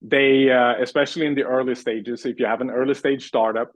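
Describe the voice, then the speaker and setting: Brief: man, mid-pitch, earnest interview guest, dry booth-like sound. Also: steady tone, fairly quick, no room sound. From Sweden. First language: Swedish. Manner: earnest, thoughtful, many restarts. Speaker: guest, language and pitch coach. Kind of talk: casual interview answers.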